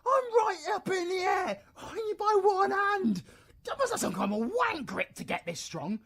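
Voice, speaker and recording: A man's voice: very high-pitched, very animated, normal speed, a bit bright, full character voice. A British man playing a foul-mouthed, hot-tempered, sarcastic supervillain in a comic audio roleplay, ranting and exasperated.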